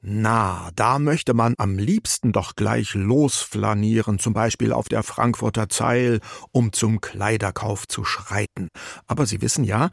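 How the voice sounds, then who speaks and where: A man presenting a scripted radio program in a relaxed, lightly ironic way, with a husky voice. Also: on the low side, no room sound. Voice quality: husky, gravelly. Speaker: radio host. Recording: dry close studio mic.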